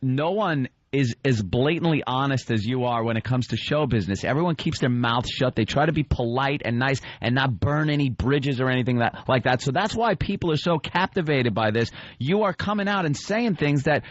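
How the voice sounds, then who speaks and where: A man's voice: medium pitch, lively intonation, a little fast, hardly any pauses, no echo, muffled recording. An American man hosting a casual talk radio interview, informal, agreeable and joking. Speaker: radio show host.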